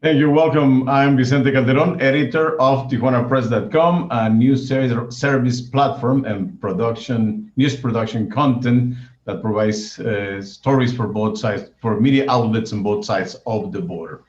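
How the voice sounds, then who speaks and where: A man's voice: mid-range voice, normal speed, hardly any pauses. A man with a Mexican accent and a mid-range voice introduces an event as co-host. He sounds like he is in an echoey room on a video call, with dull, muffled sound. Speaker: news editor co-hosting a town hall.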